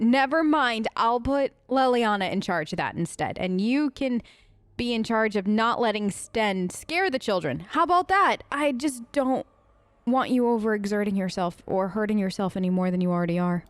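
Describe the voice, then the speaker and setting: A woman's voice: medium pitch, normal speed, no echo. A woman improvising in character for a video game let's play, chatty and hesitant, with an awkwardly polite manner.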